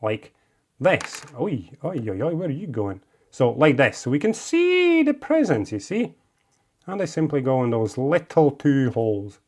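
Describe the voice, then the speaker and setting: A man's voice: on the high side, wildly swooping pitch, unhurried. A man from Latvia with a Latvian accent, chatty and encouraging as he talks through a model build step by step. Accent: Latvian, living in Scotland. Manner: chatty and encouraging, coaxing phrases. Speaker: model-building video host. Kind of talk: casual hands-on build tutorial.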